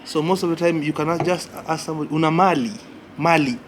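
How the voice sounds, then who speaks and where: A man's voice: on the high side, steady tone, fast, a little hiss or hum. A man with a Kenyan accent, chatting casually and teaching slang, often repeating "yeah". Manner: laid-back, repeats words, lots of "yeah". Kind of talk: casual chat. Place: cafe at night.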